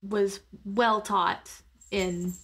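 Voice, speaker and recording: A woman's voice: medium pitch, steady tone, drawn-out words, vocal fry, a bit bright, slightly rough. A woman playing a tabletop roleplaying game, casually describing her character's actions in a thoughtful, hesitant way. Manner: thoughtful, hesitant, searching for words.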